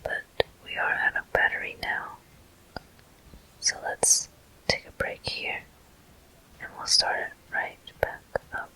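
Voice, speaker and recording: A person whispering gameplay commentary for an ASMR video, breathy and gentle, in short, unhurried phrases. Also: low-quality recording. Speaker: host of a gaming ASMR video.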